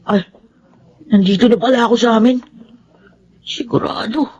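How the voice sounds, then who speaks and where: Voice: mid-range voice, fairly even intonation, moderate pace, darkish tone, breathy edge, lo-fi sound, lightly acted. An actor playing a troubled, remorseful adopted schoolboy in a Filipino radio drama, emotional and prone to stammering. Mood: uneasy muttering. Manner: emotional, stammering when confronted.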